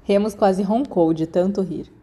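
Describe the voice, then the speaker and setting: A woman's voice: mid-range voice, measured pace, dark tone, breathy edge. A woman with a Brazilian accent reads scripted fiction as an audiobook narrator.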